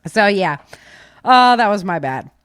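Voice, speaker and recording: A middle-aged American woman from Michigan chatting informally as a podcast host, telling stories in an animated, slangy way. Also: mid-range voice, unhurried, clear, resonant tone, no room sound, studio quality. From Michigan, United States. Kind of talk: casual conversational podcast chat.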